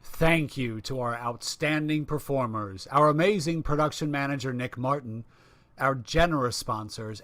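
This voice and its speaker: A man's voice: mid-range voice, a bit bright, no echo. A man working as an announcer, reading a scripted radio show sign-off.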